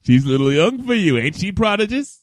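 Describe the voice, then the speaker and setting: A man's voice: sits high, very animated, a little fast, no room sound. A man voicing a protective, folksy pony father in a wasteland audio drama, with a drawling Southern US accent. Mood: warm, jovial.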